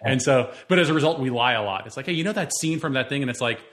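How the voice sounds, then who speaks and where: A man hosts the conversation, framing his questions with care. His speech is articulate and reflective, with a steady, mid-range voice. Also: mid-range voice, racing. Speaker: interviewer. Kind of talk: thoughtful interview questions.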